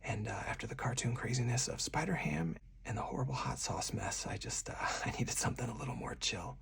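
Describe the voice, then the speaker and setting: A person whispering softly and breathily in ASMR style, narrating a solo card game move by move as the host. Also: mid-range voice, expressive, fairly quick, gravelly, breathy edge. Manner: hushed, narrating each move aloud step by step.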